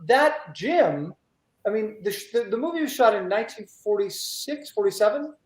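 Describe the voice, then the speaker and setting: A man from the United States hosting a casual interview, telling stories in an animated, rambling way. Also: high voice, normal speed. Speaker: talk show host. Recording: roomy echo.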